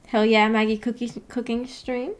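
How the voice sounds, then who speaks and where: A woman's voice: on the high side, little pitch movement, moderate pace. A woman hosting a casual livestream, rambling and thinking aloud in a laid-back way as she chats with viewers. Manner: reads viewer comments aloud and replies. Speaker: livestream host.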